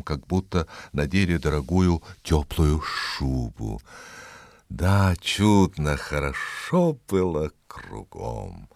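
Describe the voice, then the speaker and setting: Slow, deep-voiced male storyteller. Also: a little mellow, no room sound, clean studio sound. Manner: dramatic, measured, savouring words. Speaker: storyteller, narrator. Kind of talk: expressive scripted fairy-tale narration.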